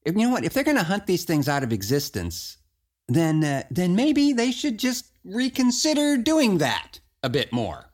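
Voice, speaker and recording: A man's voice: high-pitched, huge pitch swings, moderate pace, dry recording, clean studio sound. A man hosting a podcast in casual talk, with an exclamatory, wry, theatrical manner. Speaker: podcast host. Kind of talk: casual chat and show introduction.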